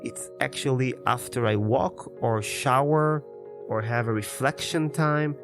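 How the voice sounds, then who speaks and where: A man's voice: mid-range voice, unhurried, music in the background. A man whose first language is Hebrew, in his thirties, talking casually and at length about his daily routine as a podcast host. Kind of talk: casual personal monologue.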